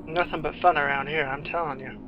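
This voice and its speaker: A man's voice: fairly high pitch, fairly even intonation, fairly quick, lo-fi sound. A man giving casual, playful commentary on a game playthrough with eager exclamations. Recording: game music loud behind voice.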